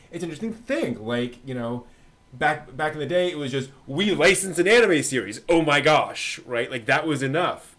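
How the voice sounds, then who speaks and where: A middle-aged man co-hosting a show in casual chat, halting as he thinks aloud. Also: mid-range voice, a bit bright. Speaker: co-host of an anime news show. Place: indoors on a video call. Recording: faint background noise.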